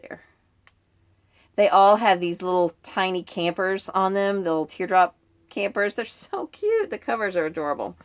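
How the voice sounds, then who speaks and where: A woman's voice: mid-range voice, animated, normal speed. A woman chatting informally in a book vlog, meandering through her reading list and often interrupting herself. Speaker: book vlogger.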